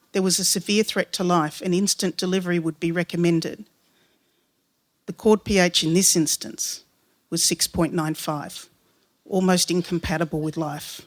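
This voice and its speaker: A woman reading a literary first-person story, giving voice to its dialogue. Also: on the low side. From Western Sydney, Australia. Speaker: writer reading own story aloud.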